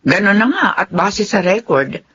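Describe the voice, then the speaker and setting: A woman's voice: mid-range voice, expressive, moderate pace, a bit bright, muffled recording, a little hiss or hum, lightly acted. A woman playing a polite, dutiful office boss with something to hide in a Tagalog drama. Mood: official, measured. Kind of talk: Tagalog radio-style drama acting.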